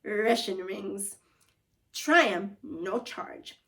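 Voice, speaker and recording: A woman's voice: mid-range voice, animated, extremely slow, a bit bright, distant mic, heavily acted. Woman playing a showy young wizard cook, emphatic. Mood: brisk, instructing. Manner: showy, folksy, punning patter. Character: friendly, brisk young man, wizard short-order cook in chef's hat. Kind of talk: children's storybook read-aloud.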